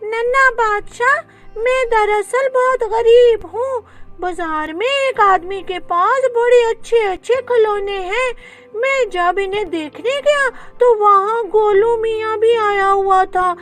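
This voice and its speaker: A woman's voice: very high voice, slow, fairly bright tone, voice pushed up, full character voice. A woman voicing a poor, honest ten-year-old boy in a children's storybook tale, childlike and plaintive. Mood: plaintive, hurt.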